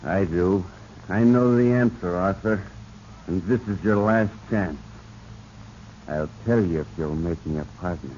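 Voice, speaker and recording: A man's voice: low-pitched, unhurried, pauses often, a little mellow. An actor playing a drunken, coldly threatening man in a 1940s radio drama, taunting in a cool, controlled way. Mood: cool, insinuating. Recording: dull, noisy 1940s radio recording.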